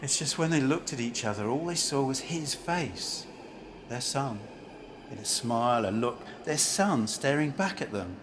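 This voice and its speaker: A man's voice: medium pitch, lively intonation, measured pace, lightly acted. A man acting a bitter, grief-worn former banker down on his luck in an amateur radio play, blunt, cynical and world-weary. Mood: grieving. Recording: home recording with faint background noise.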